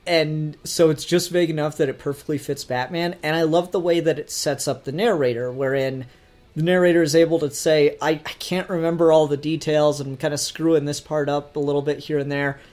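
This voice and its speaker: A man's voice: a little high, steady tone, fairly quick, a little mellow. Earnest man in casual review chat. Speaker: podcast host.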